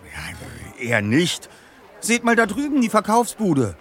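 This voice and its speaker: A man's voice: high voice, huge pitch swings, unhurried, a bit bright, heavily acted. An actor playing a precise, pompous teenage boy detective with stilted, elaborate wording in an audio drama. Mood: pensive, self-important. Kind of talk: German audio drama acting.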